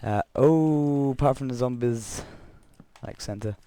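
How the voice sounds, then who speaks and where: A young man with a croaky voice from being unwell, rambling through gameplay commentary and a story, correcting himself often with chuckling asides. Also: medium pitch, measured pace, dark tone. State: croaky voice from illness. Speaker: Minecraft let's player.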